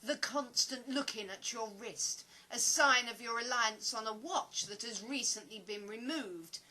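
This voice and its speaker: A woman acting in an audio play as a sharp, suspicious woman who interrogates a stranger in an accusing, theatrical, easily indignant way. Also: on the high side, unhurried. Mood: taunting, probing.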